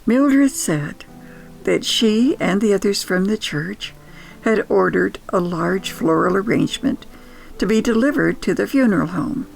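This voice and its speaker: An older woman with a strongly gravelly, creaky voice tells personal memories slowly. Her delivery is reflective and nostalgic, like scripted storytelling, with faint music behind her. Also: medium pitch, animated, low-quality recording. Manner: reflective, unhurried. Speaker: podcast storyteller.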